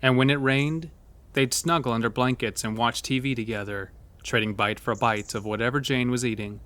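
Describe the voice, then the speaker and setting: A man's voice: mid-range voice, fairly even intonation, normal speed, fairly bright tone. A man narrating a scripted horror story, with a mid-range voice.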